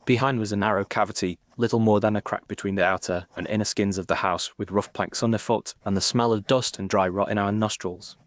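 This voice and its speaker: Male audiobook narrator: lowish pitch, measured, formal storytelling, dry recording. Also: no room sound. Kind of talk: scripted literary narration in first person.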